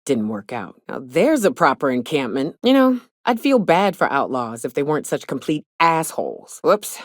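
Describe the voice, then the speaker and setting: A woman's voice: sits low, sing-song, moderate pace, no room sound, studio quality. A woman playing a brash, sardonic gunslinger from a space-colony crew in a video game, with a wry, drawling, folksy, sweary delivery. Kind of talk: video game voice acting, short companion lines.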